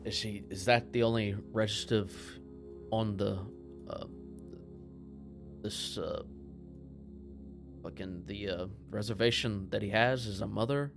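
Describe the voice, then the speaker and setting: A man's voice: lowish voice, fairly even intonation, a little slow, long pauses, music in the background. A man playing a tabletop game, chatting casually and sometimes groping for his words.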